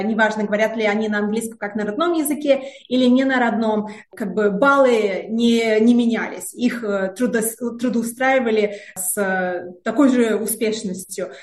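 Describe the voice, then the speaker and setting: A woman's voice: on the high side, darkish tone. A woman researcher answering interview questions in a hesitant way, with many filler sounds and repeated words. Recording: roomy echo.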